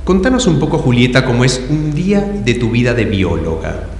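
A man's voice: medium pitch, wildly swooping pitch, a little fast, darkish tone, a little hiss or hum. A man with an Argentine accent hosting an improv show, questioning an audience member in a teasing way. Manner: teasing, echoes answers back. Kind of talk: audience interview. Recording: echoey hall with live audience.

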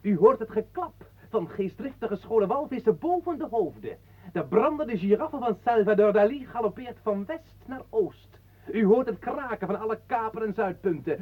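An actor playing a sly, bombastic stage designer who declaims theatrically in a radio play. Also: male voice, high-pitched, husky. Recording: dull muffled old radio recording.

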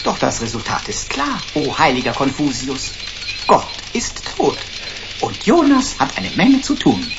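An actor playing a detective's erudite, flowery sidekick in a sci-fi radio drama, mock-formal and long-winded. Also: male voice, medium pitch, wildly swooping pitch, a little slow, muffled recording, background noise. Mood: wry explaining.

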